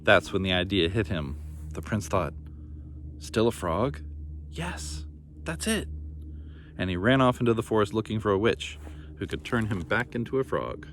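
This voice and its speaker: A man's voice: on the low side, lively intonation, fairly quick, a bit bright. A man narrating a children's fairy tale expressively as a storybook reader. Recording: noticeable background noise.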